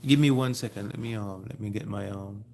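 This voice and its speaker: A man with a Jamaican accent hosting a casual live stream, speaking haltingly and repeating his phrases. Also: lowish voice, gravelly, darkish tone, a little hiss or hum. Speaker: livestream host.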